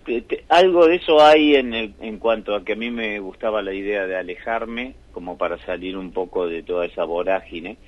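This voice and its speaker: A man with an Argentine accent, a musician answering interview questions thoughtfully, with many pauses and fillers. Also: fairly low pitch, no room sound, muffled recording. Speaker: musician, band member.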